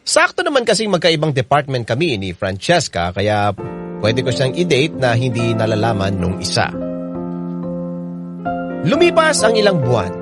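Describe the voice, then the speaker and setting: A man's voice: medium pitch, huge pitch swings, normal speed. An actor narrating as a boastful young man who cheated on his girlfriend, in a radio drama. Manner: boastful, addressing listeners. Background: loud guitar music.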